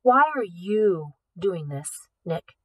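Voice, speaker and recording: A woman's voice: fairly low pitch, animated, slow, fairly bright tone, breathy edge, dry recording, heavily acted. A woman voicing a determined, suspicious teenage girl thief in an audiobook, pointed and teasing. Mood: insistent.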